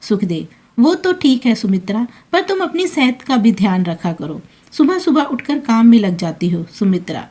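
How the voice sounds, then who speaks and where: A woman's voice: on the high side, very animated, normal speed, dark tone. A woman voicing a caring, protective elderly husband in a family story, concerned and admonishing in manner.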